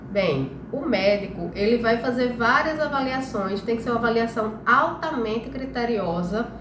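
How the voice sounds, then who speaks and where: A Brazilian woman with a slightly nasal, congested voice from a cold, explaining things informally as host of a health live stream and often checking that listeners follow. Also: on the high side. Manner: conversational, frequent check-in tags. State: congested, recovering from flu. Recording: echoey room with clear background noise.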